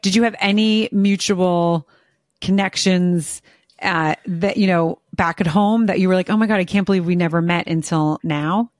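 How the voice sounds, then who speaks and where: A woman's voice: lowish voice, normal speed, darkish tone, dry recording, studio quality. A woman hosting a podcast interview, chatty and enthusiastic, often joking at her own expense. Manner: chatty, self-deprecating, enthusiastic. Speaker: podcast host. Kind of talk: casual interview.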